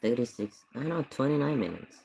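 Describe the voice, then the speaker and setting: A man's voice: medium pitch, animated, quick pace, creaky, darkish tone, slight background noise. A man streaming and chatting casually over a video, making rambling, offhand remarks.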